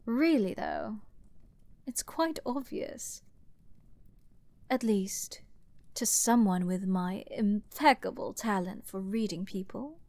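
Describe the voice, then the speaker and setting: A woman's voice: medium pitch, lively intonation, slow, long pauses, darkish tone, no echo. A woman playing an arrogant, flirty, dominant princess in a dramatic fantasy audio roleplay, with theatrical aristocratic phrasing. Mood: delighted, smug.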